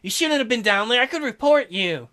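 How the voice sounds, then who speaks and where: Guy reading as a stubborn young woman diver, plain read, high bright voice. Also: lively intonation, fairly quick, heavily acted. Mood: defiant. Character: young woman underwater archaeologist, determined.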